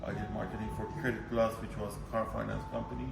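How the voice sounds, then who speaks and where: A man from Iran, a food critic guest on a podcast, speaking haltingly with many restarts and fillers. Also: on the low side, little pitch movement, creaky. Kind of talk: podcast interview. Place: studio in Dubai. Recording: echoey room, mic at a distance, music underneath.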